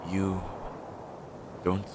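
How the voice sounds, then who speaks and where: A man acts out a witch's crow familiar for an audio roleplay, earnest, loyal and a little anxious. His voice sits fairly low and moves at a very slow, hesitant pace, as if thinking aloud to a close companion. The amateur recording carries clear background noise. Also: monotone. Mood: guilty, worried. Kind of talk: roleplay audio drama, intimate one-sided dialogue.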